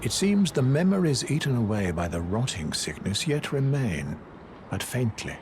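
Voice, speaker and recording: An actor playing an old, gentle, wistful sorcerer in a fantasy game, speaking in formal archaic phrases. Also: male voice, medium pitch, sing-song, moderate pace, a little hiss or hum, lightly acted. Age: older. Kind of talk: dramatic fantasy game acting.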